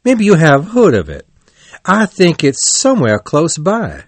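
A man's voice: a little high, huge pitch swings, unhurried, no room sound, pitched above the performer's own voice, exaggerated voice. A man voicing a boastful male toad disguised as a washerwoman, chattering in a fussy, put-on old-woman manner in a storybook reading.

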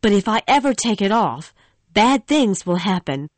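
A woman's voice: medium pitch, big pitch swings, moderate pace, dry recording, close to an everyday voice. A woman voicing a frightened young female opera singer in an audiobook, with earnest, pleading delivery. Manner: earnest, pleading dialogue reading. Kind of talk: audiobook dialogue acting.

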